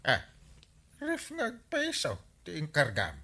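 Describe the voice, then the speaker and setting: Wildly swooping, excitable Ilocano village buddy. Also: male voice, on the high side, takes it slowly, exaggerated voice. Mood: surprised. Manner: exclamatory, swooping. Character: excitable, talkative village buddy, quick to exclaim. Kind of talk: Ilocano radio comedy drama acting.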